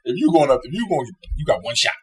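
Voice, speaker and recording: A man's voice: a little high, big pitch swings, quick pace, a little hiss or hum. A man co-hosting a podcast in casual, slangy chat, often checking that listeners follow him. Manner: slangy, repeats filler tags like "you know what I mean". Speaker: podcast co-host.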